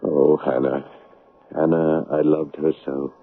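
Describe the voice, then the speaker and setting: An actor playing an anguished, insistent young fiancé in 1970s radio drama, intense and swinging from forceful to hushed. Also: male voice, very deep voice, flat delivery, takes it slowly, creaky, full character voice. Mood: remorseful. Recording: tinny, noisy 1970s radio recording.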